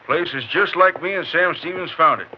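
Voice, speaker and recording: A man's voice: fairly high pitch, normal speed, lightly acted. A man playing a terse, folksy, drawling country sheriff in a western radio drama. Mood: plain, puzzled. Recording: muffled old radio recording, faint noise.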